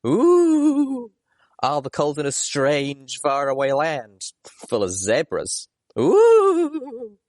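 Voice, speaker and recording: A man's voice: a little high, huge pitch swings, very slow, darkish tone, no echo, voice pushed up, exaggerated voice. A folksy, easygoing pony character with a teasing streak, acted out by a male audiobook reader. He talks like a cheerful trail companion in a storybook, recorded very dry. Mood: mock spooky. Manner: folksy, mock-spooky when teasing.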